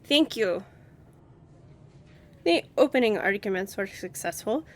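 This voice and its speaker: A woman playing a composed, confident young lawyer and boss in an audio roleplay, with a measured, earnest delivery. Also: mid-range voice, lively intonation, natural voice.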